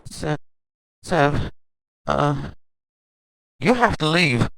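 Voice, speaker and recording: A man's voice: fairly high pitch, sing-song, very slow, vocal fry, fairly bright tone, a little hiss or hum, exaggerated voice, poor audio. A man playing an awkward, blunt and defensive character in an amateur audio drama. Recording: home recording with music behind.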